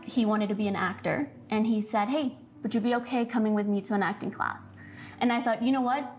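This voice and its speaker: A woman who grew up in Mexico, an actress, telling her story in an interview with lively expression. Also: a little high, brisk.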